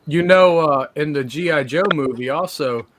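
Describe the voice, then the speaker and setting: A man's voice: a little high, moderate pace, crowd noise. A man chatting casually as a panelist on a podcast. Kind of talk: casual chat.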